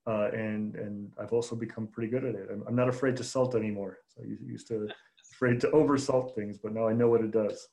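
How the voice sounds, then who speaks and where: A man in his forties from Chicago, a journalist answering interview questions in a measured way, with many fillers and restarts. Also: fairly low pitch, steady tone, vocal fry, dark tone, poor audio. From Chicago, United States. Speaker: journalist guest.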